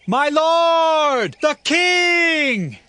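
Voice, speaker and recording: An actor playing an earnest younger warrior pleading with his king, slow and declamatory, in a dramatized scripture reading. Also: very high-pitched, very animated, pushed and bright, dry recording, heavily acted. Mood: calling out.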